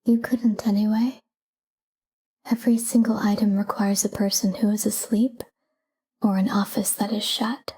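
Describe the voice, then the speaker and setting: A woman guiding a sleep meditation in a calm, hushed, breathy whisper, soothing and soft. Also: medium pitch, moderate pace.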